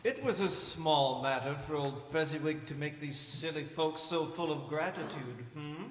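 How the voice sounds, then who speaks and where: An actor playing a commanding, probing spirit in a deliberate radio-play style, in an echoey hall. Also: male voice, fairly high pitch, a little hiss or hum, natural voice. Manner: deliberate, commanding, pointed questioning. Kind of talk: live radio-play stage acting. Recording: echoey hall, music behind.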